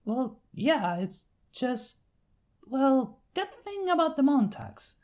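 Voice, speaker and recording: Woman as a folksy Southern farm pony, pitched up. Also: big pitch swings, slow pace, darkish tone, heavily acted. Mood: hesitant, doubtful. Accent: American Southern. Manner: folksy, casual, hearty. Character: friendly, plain-spoken country farm pony, young woman.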